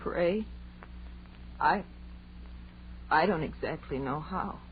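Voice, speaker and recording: An actress playing a guilt-ridden, resigned young woman in an old-time radio horror drama, halting and sombre. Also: low-pitched. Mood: subdued, hesitant. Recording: muffled, noisy vintage radio recording.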